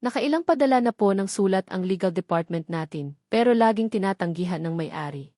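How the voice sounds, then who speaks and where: A woman's voice: mid-range voice, lively intonation, fairly quick, warm tone, no echo, studio quality, close to an everyday voice. A woman with a Filipino accent voicing a deferential, hesitant office staffer in a narrated story. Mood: apologetic. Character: deferential office staffer reporting to his boss.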